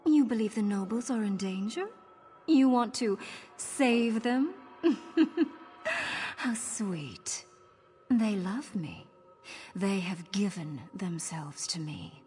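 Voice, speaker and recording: A woman's voice: medium pitch, expressive, takes it slowly, dark tone, soft background music. A woman playing a seductive, domineering vampire noblewoman in a video game, purring and teasing with lofty, mocking sweetness. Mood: gloating.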